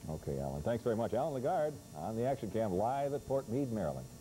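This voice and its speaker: An older male news anchor reads briskly in a very mellow, dark voice. The old broadcast has a faint hiss. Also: fairly low pitch, very animated, rough recording. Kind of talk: scripted news reading. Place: TV news studio.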